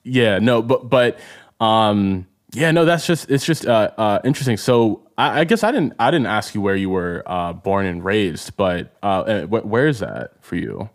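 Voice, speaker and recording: A man with a somewhat low voice hosts a casual interview. He speaks haltingly and conversationally, circling around his point and often affirming the other speaker. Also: sing-song. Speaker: podcast host, interviewer.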